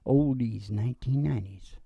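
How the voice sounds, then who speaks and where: A middle-aged man hosting a music show, chatting casually and telling rambling, animated stories. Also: medium pitch, normal speed, creaky, slightly rough, no room sound, muffled recording. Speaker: singer and music show host. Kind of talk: casual chat and storytelling between songs. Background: acoustic guitar.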